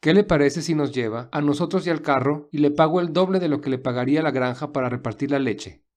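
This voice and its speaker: A man acts out a polite, resourceful young man from an audio drama. His voice sits a little high for a man. He speaks in an earnest, reassuring way. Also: fast talker, darkish tone, lightly acted. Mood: courteous, persuasive. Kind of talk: audio story character dialogue.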